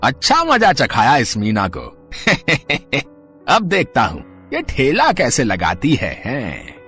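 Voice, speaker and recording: A man's voice: mid-range voice, wildly swooping pitch, pushed and bright, soft background music, full character voice. A man playing a scheming, jealous drunkard brother-in-law in a moral story, gloating and drawing out his words. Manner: gloating, drawn-out delivery. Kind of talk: storybook villain acting.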